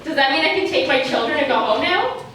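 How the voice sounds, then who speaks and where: A woman's voice: high-pitched, animated, quick pace, a bit bright. A woman playing an exasperated, sarcastic scorned wife and mother as an indignant talk-show guest in a theatrical monologue. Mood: stunned, relieved. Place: stage, live performance. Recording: very echoey stage, distant mic, faint noise.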